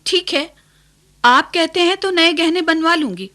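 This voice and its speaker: A woman's voice: very high voice, unhurried, sharp tone, heavily acted. An actress playing a sweet-talking, scheming new wife and stepmother in a radio play, coaxing and deferential. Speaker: actor in a radio drama. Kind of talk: Hindi radio play acting.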